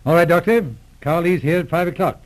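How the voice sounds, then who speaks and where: A man playing a brisk, inquisitive police inspector, businesslike, in 1940s radio drama style. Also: on the high side, lightly acted. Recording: old radio serial recording.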